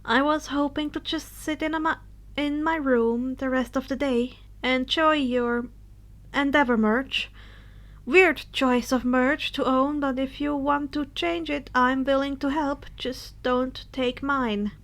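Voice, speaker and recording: A woman acts out a teenage hero boy with godlike power and a high voice. He is sweet, sheepish and apologetic, speaking brightly and haltingly in a storybook reading. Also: steady tone.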